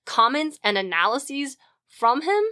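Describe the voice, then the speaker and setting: A woman's voice: sits high, animated, unhurried, bright, forceful tone. Wry, opinionated woman explaining casually. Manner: informal, wry, opinionated, with swearing. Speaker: podcast co-host. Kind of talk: casual, researched podcast explainer.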